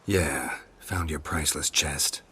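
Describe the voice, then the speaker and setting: An actor playing a gruff, middle-aged monster hunter in a video game, deadpan and sardonic, with a gravelly voice. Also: male voice, rumbling low, slow, slight background noise, heavily acted. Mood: wry deduction. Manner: deadpan, dry, terse.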